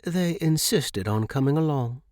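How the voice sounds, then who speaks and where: A man voicing a firm, caring woman dragon keeper in a story, instructing and gently scolding. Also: medium pitch, expressive, measured pace, dry recording, studio quality, pitched above the performer's own voice, lightly acted. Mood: reassuring, firm.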